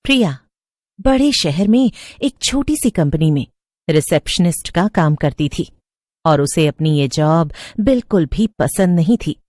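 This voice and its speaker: A woman narrating a scripted horror story for an animated video. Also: sits low, unhurried, no room sound, clean studio sound.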